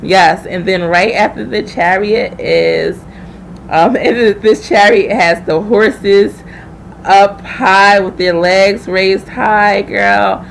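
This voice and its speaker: A woman's voice: mid-range voice, fairly even intonation, takes it slowly, gravelly, a little hiss or hum, poor audio. A woman hosting a live tarot reading, chatting informally and familiarly with viewers as she thinks aloud. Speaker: tarot reader hosting a livestream.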